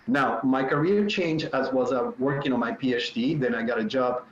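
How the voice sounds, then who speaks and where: A man in his fifties with a Puerto Rican Spanish accent speaks in a relaxed, storytelling way and fills pauses with ums. His voice sits at an ordinary pitch for a man. It sounds a little dull and roomy, as if picked up in a room at home over a video call. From Puerto Rico. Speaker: panelist. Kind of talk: virtual panel talk. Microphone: video call mic.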